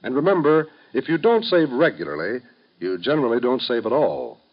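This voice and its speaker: A man's voice: mid-range voice, big pitch swings, brisk. A man announcing in a formal, emphatic, declamatory 1950s radio style, reading from a script. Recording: dull vintage radio broadcast.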